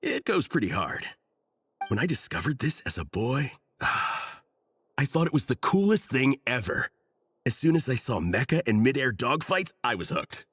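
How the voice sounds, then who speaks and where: A man's voice: medium pitch, very animated, measured pace, a bit bright, slightly rough, heavily acted. An actor voicing an earnest, nerdy young man in a video game, talking at length with enthusiasm and a self-deprecating streak. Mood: nostalgic, enthused. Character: earnest nerdy young man, devoted mecha comic collector. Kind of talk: English video game voice acting.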